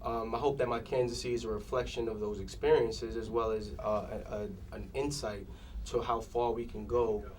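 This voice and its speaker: Halting low-voiced man, off mic. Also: steady tone, darkish tone. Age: 30s. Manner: halting, searches for words. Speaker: council candidate guest. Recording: distant mic with faint noise.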